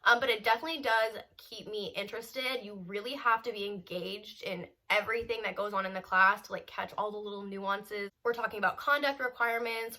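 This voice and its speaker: A woman's voice: medium pitch, bright, forceful tone. A young woman in her twenties, a law student, chatting casually in a vlog update while stuffy and congested from a cold. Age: 20s. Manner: chatty and upbeat, with small laughs and fillers.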